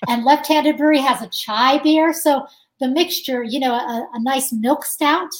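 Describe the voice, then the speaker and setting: A woman's voice: high voice, normal speed, a little hiss or hum, rough recording. A woman guest in an interview, talking casually and chattily, laughing often and saying "like" a lot. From United States. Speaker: guest musician.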